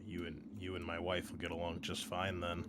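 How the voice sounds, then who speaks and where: A man's voice: low voice, monotone, fairly quick. A man hosting a tabletop game show as game master, chatting casually with joking banter and easy swearing. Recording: noisy background ambience.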